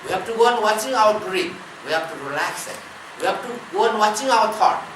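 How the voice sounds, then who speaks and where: A woman as a spiritual teacher giving a discourse, measured, speaking in short listed phrases. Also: mid-range voice, animated. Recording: roomy, distant mic, ambient noise.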